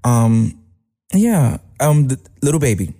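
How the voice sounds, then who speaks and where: A man's voice: mid-range voice, sing-song, slow pace, dark tone. A man, a rapper guest talking in a laid-back, rambling, slangy way. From Brooklyn, New York. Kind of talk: casual interview chat.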